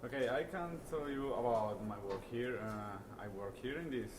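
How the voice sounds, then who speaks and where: Colombian-accented man explaining amid clear noise. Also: medium pitch, normal speed. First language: Spanish. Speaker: lab worker being interviewed. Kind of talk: informal explanatory interview. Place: indoors in a remote operations lab. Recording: noisy on-the-spot interview in lab.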